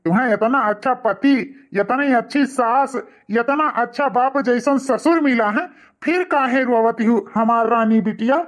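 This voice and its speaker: A man's voice: extremely high pitch, a little slow. A man voicing a humble, sentimental older father in a cartoon melodrama, resigned and sorrowful, with an Awadhi accent. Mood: affectionate. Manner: stammering when shaken, sentimental. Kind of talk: cartoon family melodrama acting.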